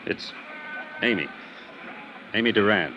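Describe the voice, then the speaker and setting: An actor playing a touchy, defensive office worker in an old-time radio drama, speaking with an agitated, halting American delivery. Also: male voice, on the low side, animated, lo-fi sound. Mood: defensive, hostile. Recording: noisy vintage radio recording.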